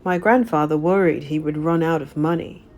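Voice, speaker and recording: A woman's voice: low voice, moderate pace, darkish tone, slight background noise, natural voice. A woman voicing an earnest male train owner in a children's storybook, formal and explanatory.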